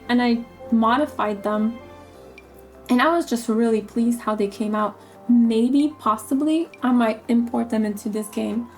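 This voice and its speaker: A woman who is losing her voice, talking casually about her game plans in a halting way, repeating words and filling pauses with um. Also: a little high, darkish tone. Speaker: gaming YouTuber. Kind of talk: casual gameplay commentary.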